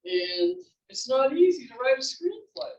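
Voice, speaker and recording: A woman's voice: high-pitched, sing-song, measured pace, a little hiss or hum. Woman talking in an echoey room. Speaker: playwright. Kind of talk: casual Q&A chat after a reading. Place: library event room. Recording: echoey library room, distant muffled mic.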